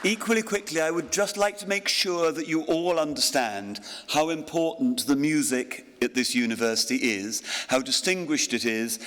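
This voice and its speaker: A man in his sixties giving a graduation address at a ceremony, self-deprecating, with pauses and ums. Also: medium pitch. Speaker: honorary graduate giving a speech. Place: large hall at a graduation ceremony. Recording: long hall reverb.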